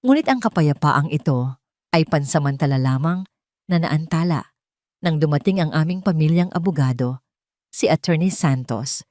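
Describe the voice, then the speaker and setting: Low-voiced woman narrating a drama, dry sound. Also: a little mellow, no room sound. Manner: solemn, sentimental storytelling. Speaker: audio story narrator. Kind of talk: dramatic first-person scripted narration.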